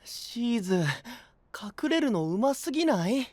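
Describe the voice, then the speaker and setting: A man's voice: very high voice, very animated, measured pace, darkish tone, no room sound, heavily acted. An actor playing an easygoing, friendly young man with a light, casual manner in an anime audio drama. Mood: puzzled, light.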